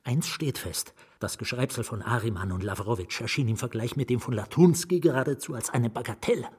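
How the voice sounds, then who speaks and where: An actor playing an anguished, fearful novelist in a radio drama, narrating his own ruin with a husky voice that drops into whispers. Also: mid-range voice, darkish tone. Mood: brooding, haunted. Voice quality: husky, breathy. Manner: deliberate, dropping into whispers. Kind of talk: literary radio drama first-person narration.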